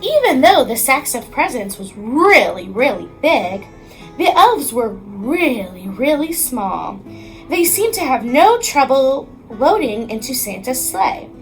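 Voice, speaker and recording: An American woman, a teacher, reading a children's storybook aloud with expressive narration. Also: high-pitched, takes it slowly, soft background music, rough recording.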